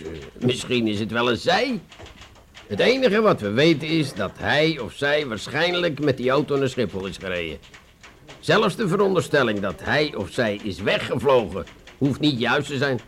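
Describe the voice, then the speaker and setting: A man with a Netherlands Dutch accent playing a condescending, weary police inspector in a radio play, sardonic and deliberate, with stammered hesitations. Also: medium pitch, big pitch swings, fairly bright tone, slight background noise. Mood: deliberate, dismissive.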